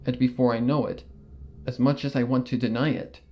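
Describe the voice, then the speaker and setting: A man narrating a fan fiction story in the first person, in a measured, earnest storytelling manner. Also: medium pitch, little pitch movement, dark tone.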